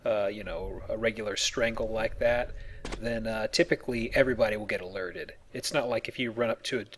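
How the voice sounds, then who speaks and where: Dude narrating his gameplay, jokey and casual, average pitch, slight background noise. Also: brisk, vocal fry, fairly bright tone. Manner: joking, colloquial. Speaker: video game let's player. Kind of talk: casual gameplay commentary with explanations.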